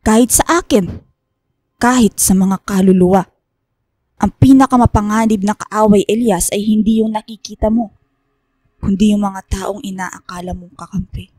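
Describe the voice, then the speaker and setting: A Filipino actress playing a secretive, stern woman professor who guides others among spirits, speaking gravely and deliberately in a radio horror drama. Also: mid-range voice, fairly even intonation, warm tone, no room sound, natural voice. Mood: grave, cryptic.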